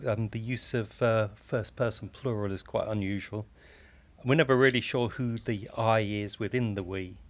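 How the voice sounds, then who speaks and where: A man's voice: on the low side, fairly even intonation, normal speed. A man interviewing a guest with considered, conversational questions.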